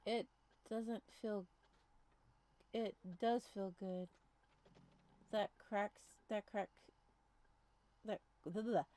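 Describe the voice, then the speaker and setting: A woman's voice: mid-range voice, fairly even intonation, drawn-out words, pauses often, dark tone. A woman streaming a game, giving casual commentary and reading bits of the text aloud.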